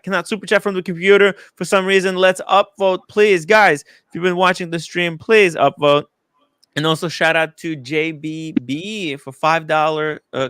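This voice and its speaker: Smooth, dry-sounding man hosting a stream. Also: fairly high pitch, fairly bright tone, no echo. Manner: hesitant, repeats words, reads out super chats. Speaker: livestream host. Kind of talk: casual livestream chat. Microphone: studio mic.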